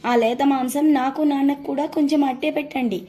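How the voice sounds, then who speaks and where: A woman's voice: high voice, steady tone, a little fast, warm tone, pitched above the performer's own voice, natural voice. A woman voicing a stern, threatening mother hawk in a children's story, commanding and insistent. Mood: menacing, commanding. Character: stern, threatening mother hawk ordering her chicks to kill.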